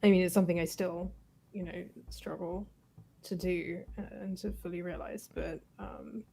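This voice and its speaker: A woman's voice: on the low side, steady tone, normal speed, creaky, mellow, slight background noise. A woman giving casual, reflective commentary as she plays a game, explaining her thoughts in a hesitant way. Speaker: game playthrough co-host.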